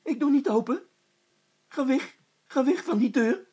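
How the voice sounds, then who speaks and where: Man acting a storybook housekeeper woman, very high shrill voice, stubborn, fearful, insistent. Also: lively intonation, unhurried, mellow, husky, heavily acted. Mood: frightened, shrieking.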